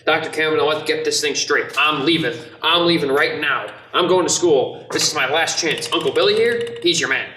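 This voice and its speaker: A young man playing an earnest, restless small-town dreamer with halting, insistent delivery in an amateur radio play. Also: fairly high pitch, pushed and bright. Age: young adult. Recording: echoey room, distant mic, some noise.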